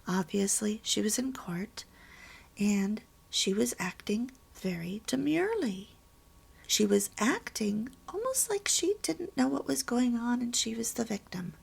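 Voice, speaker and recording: A woman hosting a homemaking channel, reading a pamphlet aloud at home and adding her own comments in a soft, hushed, half-whispered voice. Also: on the high side, animated, unhurried. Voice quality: breathy. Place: home, early morning.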